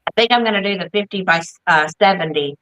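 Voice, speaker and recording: A woman's voice: fairly low pitch, fast, fairly bright tone, dull audio. A woman with a Southern US accent chatting casually as a livestream co-host, rambling and saying y'all. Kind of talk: casual chat. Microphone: video call.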